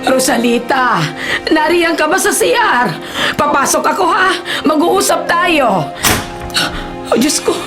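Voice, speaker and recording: An anxious, devoted Filipino nanny in a radio drama. Her voice is on the high side, fretful and coaxing, over loud music. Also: lively intonation, unhurried. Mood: alarmed, concerned. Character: worried, caring adult female nanny of the household.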